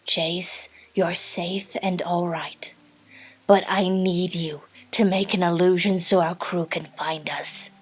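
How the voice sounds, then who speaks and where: Woman acting a concerned lady captain in audio drama, breathy n husky, takes her time. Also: fairly low pitch, steady tone, takes it slowly. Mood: hushed, reassuring.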